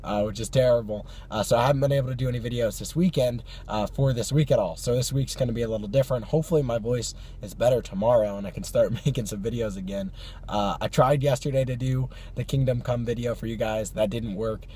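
A hoarse young man with a cold, chatting casually to camera from his parked car at night, with many fillers and small laughs. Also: mid-range voice, fairly even intonation, fast, warm tone, slight background noise. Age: young adult. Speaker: vlog host. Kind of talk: casual car vlog chat. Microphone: phone or camera on the dashboard.

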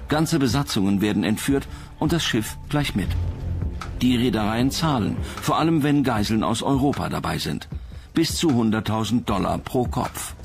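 A man's voice: medium pitch, animated, moderate pace, creaky, faint music behind. A man reading scripted narration for a documentary.

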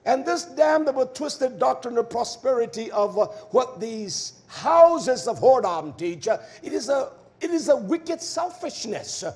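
Very high-voiced man preaching emphatically. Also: very animated, unhurried, few pauses, darkish tone. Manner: emphatic, repeating key words for force; stern, combative, exhorting. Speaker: preacher and Torah teacher. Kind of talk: fiery sermon with verse-by-verse exposition.

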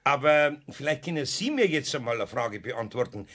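A man's voice: mid-range voice, sing-song, moderate pace, fairly bright tone. A man playing a good-natured, evasive older master carpenter with a Bavarian accent in a children's radio play, homely and placating.

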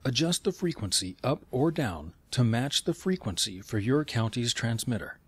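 Male instructional narrator with lively intonation. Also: medium pitch, moderate pace, dry recording. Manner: clear step-by-step phrasing.

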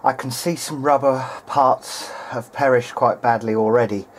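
A man's voice: mid-range voice, steady tone, normal speed, slight background noise, rough recording. A man thinking aloud in a hesitant way as he gives casual hands-on repair commentary at an indoor workbench. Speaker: hobbyist repairer presenting a video diary.

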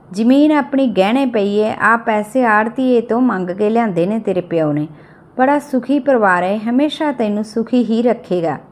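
A woman's voice: medium pitch, close to an everyday voice. A woman voicing a worried village mother who coaxes and advises, in an audio story.

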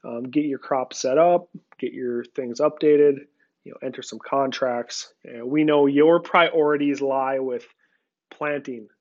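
A man giving a relaxed, conversational screen-recorded walkthrough of farm software, with frequent filler words. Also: mid-range voice, a little slow, dull audio. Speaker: software company representative. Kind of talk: screen-recorded product demo.

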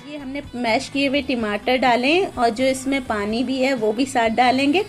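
Woman calmly guiding a home recipe. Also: sits high, faint music behind. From Pakistan. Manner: plain, homely, explains each step patiently. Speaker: cooking channel host. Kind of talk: step-by-step cooking instruction. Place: home kitchen, indoors.

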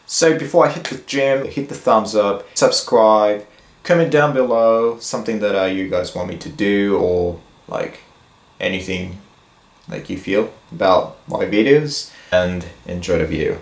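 A young man in his twenties talking casually to the camera in a vlog, slow and hesitant, with many fillers and restarts. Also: fairly low pitch, echoey room. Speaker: fitness vlogger. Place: plain indoor room, then a gym hallway.

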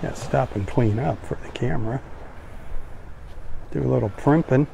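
A man hosting a live beach walk, chatting in a relaxed, folksy, cheerful way while filming outdoors on a phone. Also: mid-range voice, normal speed, gravelly, a little hiss or hum, poor audio. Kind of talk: casual live narration while walking. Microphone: phone camera held while filming.

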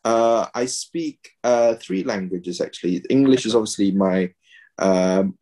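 Deep-voiced man, hesitant on a video call. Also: unhurried, warm tone. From Malaysia. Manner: hesitant, many fillers and restarts. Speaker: guest, pediatrician and game player. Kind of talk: casual video-call interview. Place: home room, indoors.

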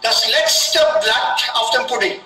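High-voiced hesitant man on a video call. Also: animated, slow pace, sharp tone, reverberant, recorded from a distance, soft background music, poor audio. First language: an Indian language. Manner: hesitant, repeats and restarts words. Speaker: guest professor. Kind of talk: online discussion group conversation.

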